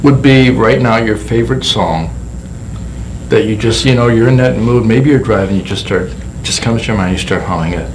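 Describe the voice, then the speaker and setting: A man hosting a casual interview, chatty and quick to laugh, often repeating his words. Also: fairly low pitch, background noise. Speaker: talk show host.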